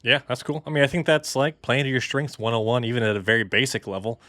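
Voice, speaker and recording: A man's voice: medium pitch, quick pace, a bit bright, no echo. A man hosting a podcast, talking casually with many hesitant fillers. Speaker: podcast host. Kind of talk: casual interview chat.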